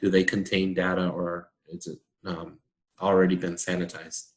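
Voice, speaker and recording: A man speaking as a consultant, explaining a standard in a measured, informal discussion. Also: deep voice, level tone, slight background noise. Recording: echoey room.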